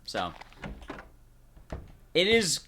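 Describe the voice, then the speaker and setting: A man's voice: on the high side, huge pitch swings, drawn-out words, bright, forceful tone, slight background noise. A man giving casual, chatty gameplay commentary, explaining things as he goes. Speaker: video game commentator.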